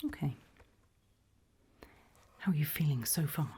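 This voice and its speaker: A woman in an ASMR roleplay as a politely sinister HR interviewer, whispering and murmuring softly in a deliberate, measured way with pauses. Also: a little hiss or hum. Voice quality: breathy whisper with soft low murmurs. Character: calm, politely sinister HR interviewer at an evil organisation.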